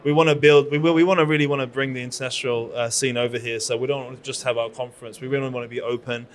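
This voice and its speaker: A man's voice: medium pitch, fairly even intonation, fast. A man in his thirties with a British accent, a conference founder talking eagerly in an interview, with plenty of fillers and restarts, into a handheld mic at a conference booth. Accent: British English. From London. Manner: chatty, enthusiastic, many fillers and restarts. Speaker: conference founder and PR agency owner. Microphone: handheld microphone.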